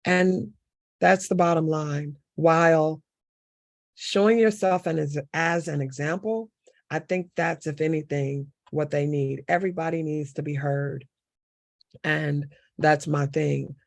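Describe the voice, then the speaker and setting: Woman candidate, gravelly and impassioned, dry close sound. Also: low voice, fairly even intonation, unhurried, no echo. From Southern United States. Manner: impassioned, repeats key words for emphasis. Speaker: city council candidate. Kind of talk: panel interview answer.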